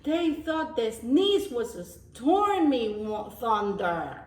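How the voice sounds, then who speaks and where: Slow, high-voiced woman reading to children, echoey. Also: very animated, slight background noise. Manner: simple explanations, repeating phrases for young listeners. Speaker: teacher reading to young children. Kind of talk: storybook read-aloud with explanatory asides. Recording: roomy echo, distant microphone.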